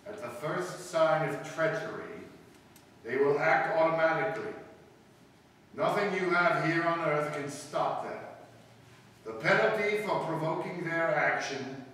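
A man playing a solemn alien envoy in a 1950s-style radio drama, giving grave, measured warnings. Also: fairly high pitch, fairly bright tone, distant mic. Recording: noisy echoey hall, captured from afar.

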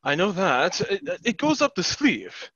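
A man playing a brash, cocky young sceptic in a stage play read over a headset on a video call, halting and mocking. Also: high-pitched, big pitch swings, a bit bright, husky, dry recording, exaggerated voice. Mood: smug, appraising. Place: room at home, on a video call. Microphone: headset boom microphone. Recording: dull, lo-fi headset call audio.

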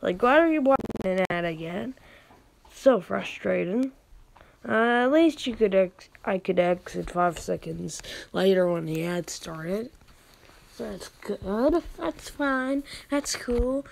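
A woman's voice: mid-range voice, lively intonation, slow, vocal fry, darkish tone. A woman giving casual gameplay commentary, thinking aloud with exclamations and reading game text out. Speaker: gaming video host.

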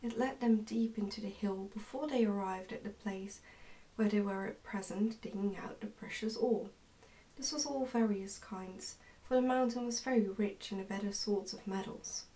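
A young woman reads a storybook aloud for ASMR. Her voice is relaxed and mellow, with a soft, whispery, careful delivery. She is recorded indoors, a little way off from the microphone. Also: medium pitch, moderate pace, recorded from a distance, rough recording. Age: young adult. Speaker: ASMR narrator reading a book aloud. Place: indoors, small plain room.

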